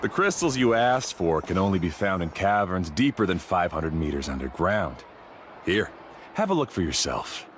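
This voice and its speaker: A boastful star guide. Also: male voice, sits low, very animated, moderate pace, a little hiss or hum. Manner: self-assured, haggling.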